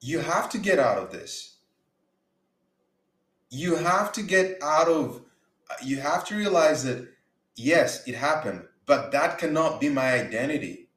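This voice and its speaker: A man's voice: on the high side, animated, a little slow, long pauses, fairly bright tone. Earnest man from Uganda, roomy echo. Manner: earnest, repeats words when emphasizing. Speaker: faith and business speaker. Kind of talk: motivational talk.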